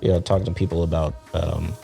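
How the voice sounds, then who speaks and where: A very low-voiced man rambles easily as a podcast guest, piling up fillers as he thinks. Faint music sits underneath. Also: fast, gravelly. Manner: relaxed, rambling with many fillers. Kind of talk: casual podcast chat.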